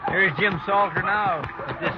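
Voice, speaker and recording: A folksy, curious sidekick to a frontier lawman, played by a man, pipes up in a high, drawling voice. It is radio western drama on a muffled old recording. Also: moderate pace, lightly acted. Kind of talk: 1950s radio western acting.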